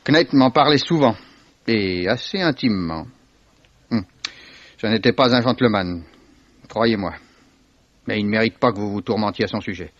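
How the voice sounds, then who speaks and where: An actor playing a worldly, evasive Swiss salesman in a 1950s French radio mystery, sardonic, unhurried and given to repeating himself. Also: lowish voice, dry recording, lightly acted. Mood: dismissive, amused. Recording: dull, dry vintage radio recording.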